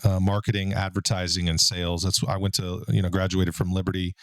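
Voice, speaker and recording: A man in his forties with a deep baritone voice, hosting a casual podcast and telling stories in a relaxed way. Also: a little fast, no room sound, studio quality. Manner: relaxed, storytelling, frequent fillers. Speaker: podcast host and business owner. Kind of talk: casual conversational podcast talk.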